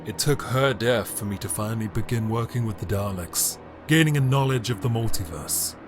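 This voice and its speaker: A man playing a bitter, power-hungry immortal alien emperor in a dramatic audio drama monologue, brooding and grandiose, with deliberate theatrical pauses. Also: lowish voice, music in the background, natural voice. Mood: reflective, grave. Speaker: reader of an audio story.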